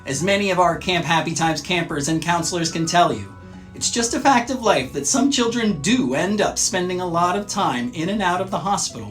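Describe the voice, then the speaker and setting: A man hosting a children's read-aloud, narrating from a script in a measured, clearly phrased way. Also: fairly high pitch.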